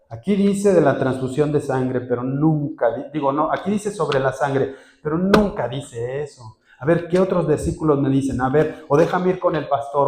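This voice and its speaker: A pastor preaching a sermon in a lively way, acting out quoted voices and often correcting himself mid-phrase. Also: male voice, medium pitch, echoey room, background noise.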